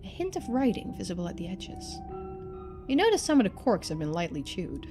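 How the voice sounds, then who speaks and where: A woman streamer reading a story game aloud in expressive narration, with casual asides. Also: mid-range voice, fairly quick, faint music behind.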